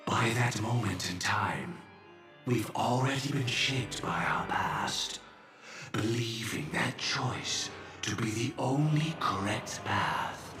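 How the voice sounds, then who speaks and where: A grave, low-voiced, courteous villain. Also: male voice, lively intonation. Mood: cold, fatalistic. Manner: solemn, formal, measured pronouncements. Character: grave, courteous, fatalistic male antagonist passing judgment. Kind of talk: dramatic video game voice acting. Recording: echoey, distant, music underneath. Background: game music.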